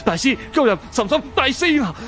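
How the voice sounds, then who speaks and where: A man's voice: very high voice, very animated, brisk, a bit bright, soft background music, voice pushed up, heavily acted. A man voicing an anxious, deferential wealthy businessman in an audiobook, flustered and beseeching. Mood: desperate pleading. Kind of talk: audiobook character acting.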